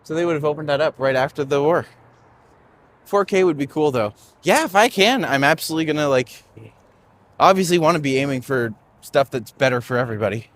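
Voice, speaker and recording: A man's voice: a little high, expressive, a little fast, fairly bright tone, a little hiss or hum. A young man hosting a live-streamed walking tour outdoors on a city street, rambling and chatty with lots of fillers, recorded on a phone.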